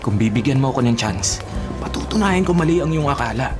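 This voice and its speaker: An actor with a Filipino accent playing an earnest, smooth-talking young suitor in a radio drama. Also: male voice, medium pitch, expressive, a little fast, over music, lightly acted. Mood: persuasive.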